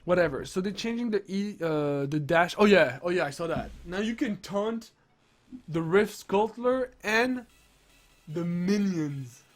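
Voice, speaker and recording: A young man with a sick, strained voice, rambling excitedly through game patch notes with lots of restarts. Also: sits high, unhurried. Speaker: gaming streamer. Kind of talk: casual patch-notes commentary.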